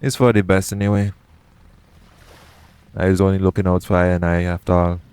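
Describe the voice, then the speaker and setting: Man playing low-voiced pirate captain. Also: little pitch movement, fairly quick, darkish tone, smooth, natural voice. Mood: guarded, wistful. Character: shady, guarded pirate captain, roguish yet gentle. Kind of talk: intimate audio roleplay acting addressed to the listener.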